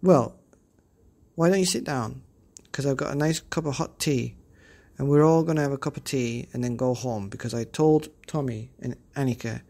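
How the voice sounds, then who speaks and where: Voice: mid-range voice, gravelly, mellow. A storyteller voicing a bold, tough little girl hero who bosses and scolds the bullies. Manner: bossy, scolding.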